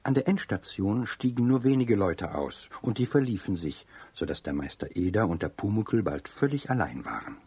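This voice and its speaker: A man's voice: lowish voice, big pitch swings, normal speed, hardly any pauses, darkish tone, slightly rough, no echo, exaggerated voice. A man narrating a children's radio play as a storyteller. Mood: storytelling.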